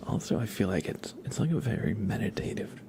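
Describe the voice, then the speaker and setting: A man hosting a live ASMR stream, chatting softly to viewers and gently guiding them in an unhurried way. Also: on the low side, sing-song, vocal fry, background noise. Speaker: ASMR livestream host.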